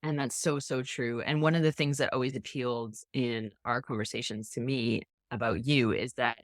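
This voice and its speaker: A podcast host talks in a casual interview, affirming the guest and restarting sentences as thoughts form. The voice sits at an ordinary middle pitch. The recording is dry and close. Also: steady tone, moderate pace, dry recording. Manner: chatty, affirming, many restarts and fillers.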